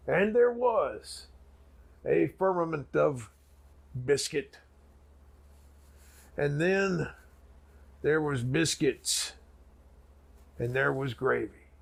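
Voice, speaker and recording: Casting: folksy comic preacher, extremely croaky, very slow, solemn and grandiose storytelling. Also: male voice, on the high side, lively intonation, full character voice. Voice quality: gravelly, raspy. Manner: drawn-out, folksy, dramatic pauses and sudden swells. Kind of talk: mock sermon storytelling.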